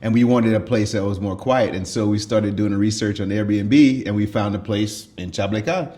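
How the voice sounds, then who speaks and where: A man's voice: fairly low pitch, normal speed, dark tone. A man speaking as a guest in a casual interview, easygoing and laughing as he recounts things.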